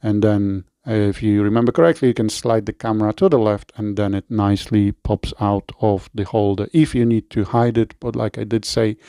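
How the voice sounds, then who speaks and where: Man with a Polish accent, smooth voice. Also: on the low side, fairly quick, hardly any pauses, dry recording, studio quality. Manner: relaxed, explanatory, with frequent fillers and self-corrections. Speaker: product reviewer. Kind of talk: product review and installation walkthrough.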